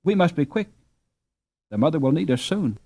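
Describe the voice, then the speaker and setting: A man voicing an honest, protective fifteen-year-old big brother from a storybook in a brisk, earnest manner. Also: a little high, very animated, gravelly, mellow, voice pushed up, exaggerated voice. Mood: tender, urgent.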